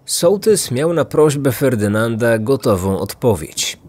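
A man's voice: mid-range voice, lively intonation, measured pace, darkish tone, breathy edge. A man hosting and narrating a true-crime story from a script. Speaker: podcast host, narrator. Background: background music.

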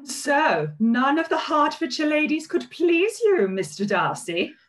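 A woman plays a snobbish lady with a high, lilting voice. She drawls slowly and archly, mocking in theatrical period style. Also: big pitch swings. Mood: arch, amused. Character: haughty, teasing, snobbish Regency society lady. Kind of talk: theatrical period drama read-through. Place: kitchen at home, on a video call.

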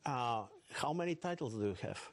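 A man's voice: medium pitch, animated, fairly quick. A man asking short questions as a television interviewer.

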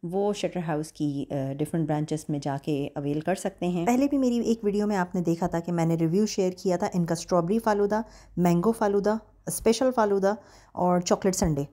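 Mellow-voiced woman narrating a food vlog. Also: on the low side, fairly quick. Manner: calm, friendly review talk with English words mixed in. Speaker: food vlogger. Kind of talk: scripted voice-over narration.

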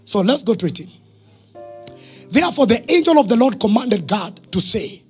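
Casting: Kenyan male pastor, high husky voice, emphatic pulpit delivery with calls to the congregation. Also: very animated, moderate pace, soft background music. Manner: emphatic, with short phrases and questions to the congregation. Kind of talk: sermon. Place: church stage, indoors. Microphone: handheld microphone.

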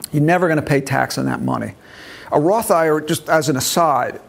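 A man's voice: on the high side, gravelly. A man in his early sixties giving an informal classroom talk on retirement money, explaining step by step and checking that his listeners follow, on a lapel mic in a meeting room. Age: early 60s. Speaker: financial planning instructor. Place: meeting room with a seated group.

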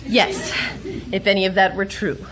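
An actress playing a plucky, bossy pulp adventure heroine with a sardonic, snappy manner in a radio drama. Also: female voice, mid-range voice, flat delivery, normal speed, a little hiss or hum, lightly acted. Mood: defiant. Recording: loud music under the voice.

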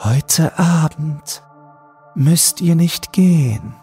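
A man voicing an eerie, benevolent, inhuman female being with slow, luring speech in a horror story. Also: on the high side, warm tone, husky, faint music behind, voice pushed up, exaggerated voice. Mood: serene, inviting.

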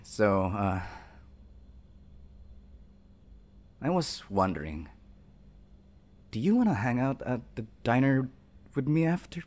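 Lively young college jock, acted by a man. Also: mid-range voice. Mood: shyly hopeful. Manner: informal slangy bro talk with hesitations. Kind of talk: roleplay audio acting, casual chat to the listener.

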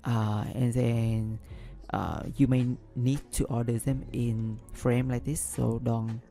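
Gravelly hesitant man explaining a tutorial. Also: mid-range voice, little pitch movement, takes it slowly, mellow, faint music behind. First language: not English. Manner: hesitant, many ums and restarts. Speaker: business analyst tutorial host. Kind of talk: screen-recorded software tutorial.

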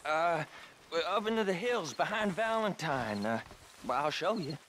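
An actor playing a young American outlaw captive in a video game, nervous, stammering and eager to appease. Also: male voice, a little high, expressive, moderate pace, a bit bright, slight background noise. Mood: anxious. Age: young adult. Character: frightened, pleading young male outlaw captive. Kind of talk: cinematic video game acting.

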